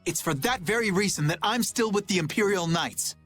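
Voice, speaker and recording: A fairly high-pitched young knight captain, earnest and righteous. His delivery is formal and solemn, in anime video game style. Soft music sits behind the voice. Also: brisk. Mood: stern, reproachful.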